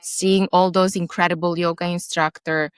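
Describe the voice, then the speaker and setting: A woman, a podcast guest who talks haltingly, often restarting as she searches for words. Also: fairly low pitch, little pitch movement, clear, resonant tone, no echo. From Serbia. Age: 40s. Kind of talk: interview conversation.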